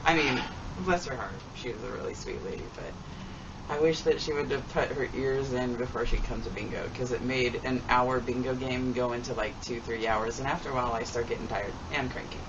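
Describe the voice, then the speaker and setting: A woman's voice: very deep voice, steady tone, fairly quick. A woman vlogger telling stories in a rambling, folksy, chatty way with homespun phrases. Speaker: vlogger doing a tag video. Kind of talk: rambling casual storytelling. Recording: distant mic, dull sound, noisy background.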